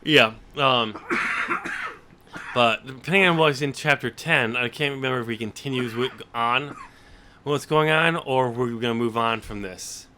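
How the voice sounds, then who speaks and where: A man who hosts a podcast, talking casually about books in a halting way and often restarting his sentences mid-thought. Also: medium pitch, sharp tone, slight background noise. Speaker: podcast host and novelist. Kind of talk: casual book discussion.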